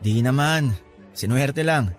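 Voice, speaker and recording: A modest young man voiced by an actor in a romantic audio drama, gently teasing an old flame. His voice sits at a middle pitch, with music playing softly behind it. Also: measured pace, natural voice. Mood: modest, curious. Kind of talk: Filipino audio drama acting.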